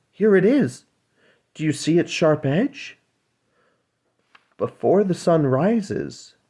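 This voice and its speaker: Man voicing an urgent, pleading mermaid sister, raised pitch. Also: warm tone, husky. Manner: insistent, imploring.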